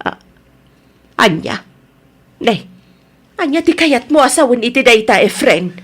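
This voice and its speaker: High-voiced, aggrieved wife. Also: female voice, big pitch swings, measured pace, husky, full character voice. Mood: concerned. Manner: firm, reproachful. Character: stern aggrieved wife and concerned mother. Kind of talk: radio drama acting.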